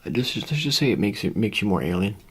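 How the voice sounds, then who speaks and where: A soft-spoken ASMR roleplayer, sometimes whispering, playing an offhand handler in a hesitant, rambling, casually reassuring way. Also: low voice, big pitch swings, fast, a little hiss or hum. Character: offhand handler preparing an alien for public exposure.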